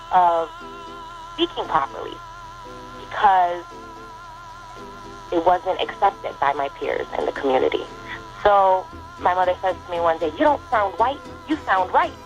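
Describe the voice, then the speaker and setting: A woman guest on a radio interview, telling personal stories with animation and frequent laughter. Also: lowish voice. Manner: animated storyteller, laughs often. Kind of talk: casual interview conversation. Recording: muffled lo-fi radio recording.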